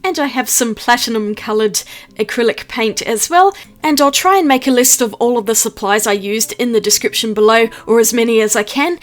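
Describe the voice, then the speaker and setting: A woman's voice: a little high, a little fast. A woman from New Zealand, an art vlogger narrating a voice-over with a chatty, upbeat manner, explaining each step.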